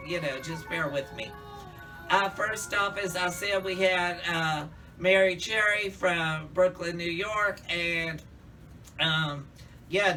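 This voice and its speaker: A man's voice: fairly high pitch, sharp tone, distant mic, poor audio. A man in a casual, campy monologue, chatting to viewers as a drag queen reviewer.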